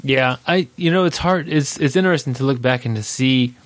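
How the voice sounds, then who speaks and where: A man hosting a casual podcast chat, nasal and congested from allergies, relaxed and laughing easily. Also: mid-range voice, a little fast, no room sound. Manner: relaxed, laughs often, filler-heavy. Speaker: podcast host.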